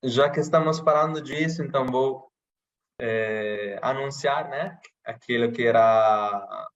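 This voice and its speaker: A man from Italy speaking with an Italian accent, chatting casually in a podcast interview with many pauses and restarts. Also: medium pitch, highs cut off. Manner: hesitant, many restarts and fillers. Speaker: interviewee, channel host.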